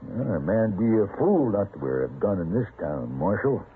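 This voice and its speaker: An American actor playing a short-tempered, folksy countryman in an old-time radio western, grumbling and halting as he talks. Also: lowish voice, big pitch swings. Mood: irritated, threatening. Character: gruff, ornery, short-tempered rural horse owner. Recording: tinny, hissy old radio recording.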